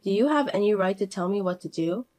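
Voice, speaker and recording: A woman voicing a calm, cool-headed young working woman who speaks quietly and firmly, narrating in the first person. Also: medium pitch, fast talker, close to an everyday voice.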